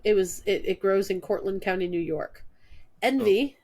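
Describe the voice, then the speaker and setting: A woman's voice: mid-range voice, normal speed, darkish tone. Stuffy-voiced woman co-host, wry and dry, reading a list aloud in casual chat. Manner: wry, reads names and facts off a post. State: stuffed up from allergies. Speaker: podcast co-host.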